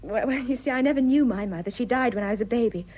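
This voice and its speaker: A woman's voice: fairly high pitch, fast, natural voice. An actress with a British accent playing an earnest, hesitant, idealistic young wife in her twenties in a radio drama. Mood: dreamy, earnest. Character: romantic, idealistic, nervous young English wife of twenty-five. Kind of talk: stage comedy radio drama acting. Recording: slightly dull, lo-fi audio.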